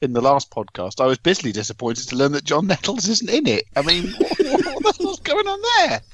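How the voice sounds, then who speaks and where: A man's voice: on the high side, very animated, brisk, a bit bright. A British man co-hosting a podcast, bantering casually with a wry, dry sense of humour. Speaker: podcast co-host and reviewer.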